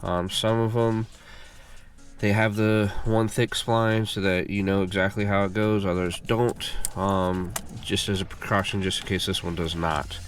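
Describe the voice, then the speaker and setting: A man with a low voice, stuffed up and congested, talks through a car repair job in a relaxed, rambling way with lots of ums and uhs. Faint music plays softly behind him. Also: unhurried. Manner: relaxed, filler-heavy explaining. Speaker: car repair channel host. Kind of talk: casual how-to walkthrough. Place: working at a car.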